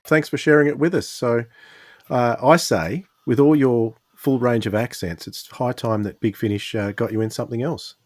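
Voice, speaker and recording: A man's voice: mid-range voice, normal speed. A man hosting a podcast in a casual, conversational interview style. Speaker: podcast host.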